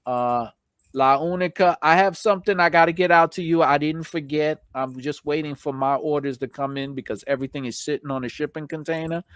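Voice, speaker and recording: Guy hosting a livestream, kinda high voice, chatty and slangy, bit hoarse, dry close sound. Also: no room sound. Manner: chatty, slangy, informal shout-outs. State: slightly hoarse from long talking. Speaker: live stream host. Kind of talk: casual live stream chat, thanking viewers.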